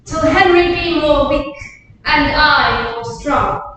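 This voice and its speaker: A woman playing an ambitious, angry male nobleman in a staged Shakespeare reading, with forceful, sing-song declamation. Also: sits high, slow pace, slight background noise. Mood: seething. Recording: echoey room, far from the mic.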